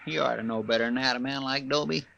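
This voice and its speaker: An actor playing a folksy, loyal marshal's sidekick with a rural American accent in a western radio drama. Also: male voice, medium pitch, fairly even intonation, quick pace, gravelly, muffled recording, background noise, natural voice. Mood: curious, earnest.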